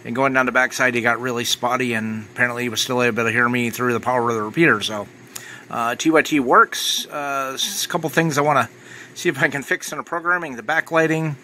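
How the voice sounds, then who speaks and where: An American man talks through a product casually, in a matter-of-fact way. His voice is bright and forward at a middle pitch, with some noise in the recording behind him. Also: fairly even intonation, quick pace, rough recording. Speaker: amateur radio reviewer. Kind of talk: casual product review narration.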